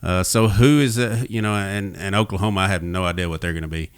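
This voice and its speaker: A man's voice: low-pitched, fast talker, smooth, dry recording, clean studio sound. A man hosting a podcast, casually talking sports and putting informal, wandering questions to his guest. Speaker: podcast host.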